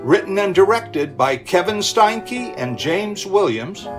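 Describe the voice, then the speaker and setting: Radio drama announcer, scripted credits, slow delivery, animated intonation, music behind. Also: medium pitch.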